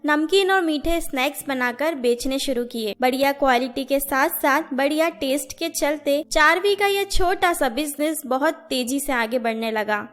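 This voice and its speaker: A woman's voice: sits high, moderate pace, hardly any pauses, fairly bright tone. A woman narrating a scripted moral story for children.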